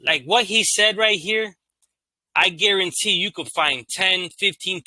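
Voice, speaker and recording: Brief: male host, bright and sharp tone, reads legal text flat then drops into loose slang asides, monotone by his own account. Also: on the high side, no room sound, rough recording. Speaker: channel host reading and commenting on court cases. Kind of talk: casual read-aloud commentary.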